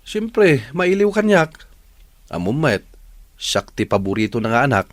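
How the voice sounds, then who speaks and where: Man playing lively, easygoing Filipino boyfriend. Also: mid-range voice, unhurried, no room sound. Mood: placating, teasing. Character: grown working son, easygoing, placating boyfriend. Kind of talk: radio drama acting.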